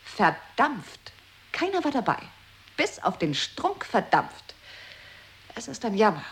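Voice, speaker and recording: An actress in a radio play, playing a grim woman who delivers news in clipped, deadpan pronouncements. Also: mid-range voice, takes it slowly. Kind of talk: 1980s radio play acting.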